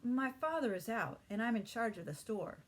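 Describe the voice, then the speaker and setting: Woman acting young boy shopkeeper, storybook, eager, polite, proud, raised pitch. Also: sing-song, normal speed.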